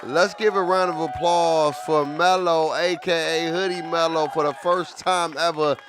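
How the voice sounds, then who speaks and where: A man's voice: on the high side, steady tone, a little slow, music in the background. Hyped streamer man in his forties. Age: 40s. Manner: repetitive, hyped, keeps addressing chat. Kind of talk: casual livestream chat.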